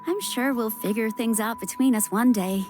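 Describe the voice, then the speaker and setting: A woman's voice: fairly high pitch, moderate pace, close to an everyday voice. A woman playing a kindly, reassuring female doctor in a video game. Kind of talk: animated video game voice acting. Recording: guitar music clearly behind voice.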